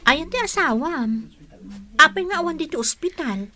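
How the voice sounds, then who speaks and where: Woman acting inquisitive, higher-voiced village friend. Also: expressive, normal speed, pushed and bright. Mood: friendly, curious. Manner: inquisitive, commiserating. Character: sympathetic, nosy, frank female friend. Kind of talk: Ilocano radio drama acting.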